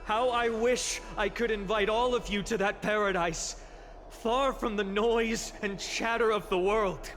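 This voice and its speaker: A man's voice: sits high, fairly even intonation, measured pace, a bit bright, a little hiss or hum. A young man playing an earnest, humble village hero in a video game, speaking sincerely and politely. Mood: wistful. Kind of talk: scripted game voice acting. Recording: music behind the voice.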